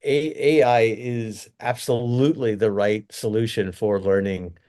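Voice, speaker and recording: A man's voice: on the low side, fairly even intonation, a little slow, warm tone. A middle-aged man, a company executive, giving earnest, rambling interview answers full of restarts and fillers.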